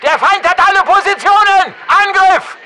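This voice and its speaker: A man's voice: extremely high pitch, moderate pace, heavy background noise. A man playing an alert soldier who gives clipped status reports over a military radio in a video game. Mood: urgent warning.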